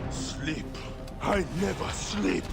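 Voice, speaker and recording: Gravelly, vengeful warrior. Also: male voice, a little high, sing-song, full character voice. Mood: cold menace. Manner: slow, deliberate, threatening. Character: vengeful male warrior protector, menacing, sleepless hunter. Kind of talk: dramatic video game cutscene acting. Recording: loud music over the voice. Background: loud dramatic music.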